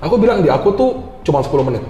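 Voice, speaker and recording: Fast-talking mellow Jakarta man in casual conversation. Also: fairly high pitch, big pitch swings, echoey room, faint music behind. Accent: Jakarta Indonesian. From Indonesia. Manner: informal, mixes in English words. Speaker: guest, IT university lecturer. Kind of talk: casual interview chat.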